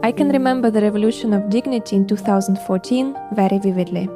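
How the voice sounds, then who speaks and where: A Ukrainian woman in her thirties, a podcast host reading a measured, reflective scripted narration with a Ukrainian accent. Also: medium pitch. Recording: music bed behind voice. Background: music.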